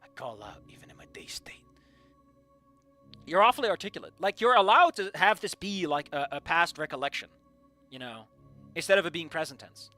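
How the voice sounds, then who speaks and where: A man reads fan fiction aloud live, tossing in casual, swearing asides and jokes about the writing. His voice sits a little high and sounds very bright and sharp. Faint guitar music plays underneath. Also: very animated, brisk. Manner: casual, joking, swears often. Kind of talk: reading a story aloud live with commentary.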